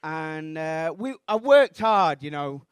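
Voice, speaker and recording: A Mancunian man in his thirties doing a rambling, self-deprecating stand-up routine on a comedy club stage, bursting into shouted outrage. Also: on the high side, lively intonation, slow pace, smooth, no room sound, clean studio sound. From Manchester, England.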